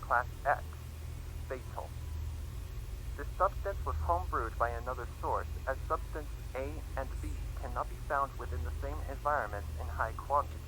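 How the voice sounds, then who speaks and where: A man narrates in a formal, report-like way, as if reading official documents aloud. His pitch is average for a man. There is clear noise and ambience around his voice, giving it a transmission-like sound. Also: moderate pace, pushed and bright, rough recording. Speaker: fan fiction reader. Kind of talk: scripted narration of horror fan fiction reports.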